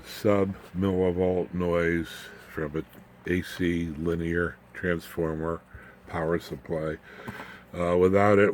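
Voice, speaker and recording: A man with a low-pitched voice talks through electronics as if to friends. His delivery is unscripted and folksy, wandering into small jokey asides. There is noticeable background noise in the recording. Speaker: amateur radio electronics video host. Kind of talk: casual off-the-cuff technical demonstration.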